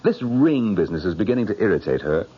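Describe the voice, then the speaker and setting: An actor playing a troubled, glib bridegroom in an old-time radio drama, halting and defensive, with a sardonic streak. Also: male voice, fairly low pitch, sing-song, husky. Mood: agitated, cynical. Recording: muffled old radio, faint music.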